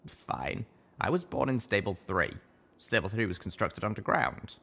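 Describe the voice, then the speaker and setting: A man's voice: lowish voice, very animated, unhurried, creaky, a bit bright, exaggerated voice. A man voicing a jaded, sardonic century-old ghoul pony in a colt's body, rambling wearily in a storybook reading. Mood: grim.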